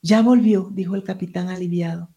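Woman writer, mellow tone, hesitant, reads her own prose aloud. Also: on the low side, fairly even intonation, a little fast, vocal fry, highs cut off. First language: Spanish. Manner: hesitant, searching for words. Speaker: writer and teacher. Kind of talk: interview answers and reading from her book.

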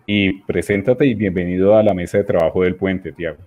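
Low-voiced male radio host. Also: steady tone, brisk, a little mellow. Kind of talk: casual radio talk.

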